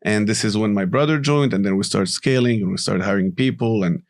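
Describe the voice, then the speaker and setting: A man from Morocco, a company CEO, talking casually as a podcast guest and explaining things in a relaxed way with frequent asides. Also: on the low side, a little fast. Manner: relaxed, explanatory, tags sentences with "right?" and "you know". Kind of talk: casual interview talk.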